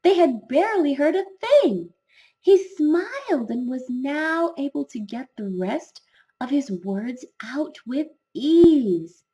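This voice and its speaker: Female children's book narrator, slow and very lively in pitch, with dramatic emphasis on key words. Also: low-quality recording. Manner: dramatic, drawn-out emphasis for young listeners. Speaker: storyteller reading a children's book. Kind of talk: expressive scripted fairy tale narration.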